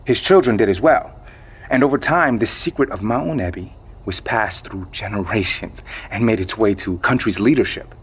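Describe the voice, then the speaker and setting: A man playing a wry, measured storyteller in an audio drama, with a gravelly voice and suspenseful pauses. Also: on the low side. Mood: musing. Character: measured man recounting a secret legend, wry.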